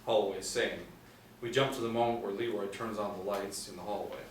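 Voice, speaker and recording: A middle-aged man reads scene descriptions from a script in a lowish voice. The room is echoey, with faint noise and some distance from the mic. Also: fairly even intonation, fairly quick. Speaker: stage directions narrator. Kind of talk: scripted narration at a table read. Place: small indoor room, seated reading.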